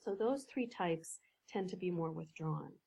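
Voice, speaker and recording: A woman coach, a podcast guest, explaining ideas in a measured way with some fillers. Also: fairly low pitch, lively intonation, gravelly, mellow, breathy edge. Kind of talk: podcast interview.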